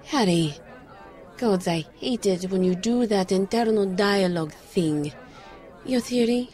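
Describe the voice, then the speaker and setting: A woman's voice: medium pitch, unhurried, dark tone, a faint crowd, natural voice. An actress playing a skeptical, guarded psychic woman, dry and teasing, in an audio drama. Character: skeptical, psychic enchanted woman, the detective's old flame.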